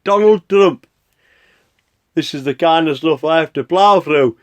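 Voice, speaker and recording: A man's voice: fairly high pitch, measured pace, muffled recording, natural voice. An actor playing an exasperated Santa Claus in a radio play, theatrical as he reads out his mail. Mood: weary, amused.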